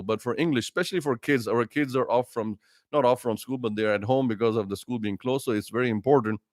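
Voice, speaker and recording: A middle-aged man, an imam, giving an earnest livestreamed religious talk with numbered tips and pauses to greet viewers. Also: mid-range voice, dry recording, studio quality.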